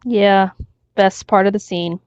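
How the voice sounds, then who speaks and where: A woman's voice: medium pitch, steady tone, measured pace, darkish tone, clean tone, no echo, muffled recording. A woman co-hosting a casual read-along, narrating a story and chatting briefly about it. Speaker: co-host reading stories aloud.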